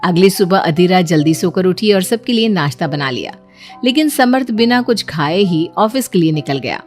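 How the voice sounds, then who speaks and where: A woman's voice: on the low side, lively intonation, normal speed, soft background music. A woman storyteller reading scripted narration for a moral bedtime story.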